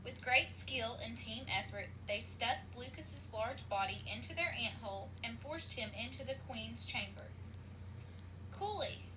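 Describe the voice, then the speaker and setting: A woman reading a children's story aloud as scripted narration, in an expressive storytelling manner. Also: fairly high pitch, normal speed, hardly any pauses, noisy background. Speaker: storybook reader.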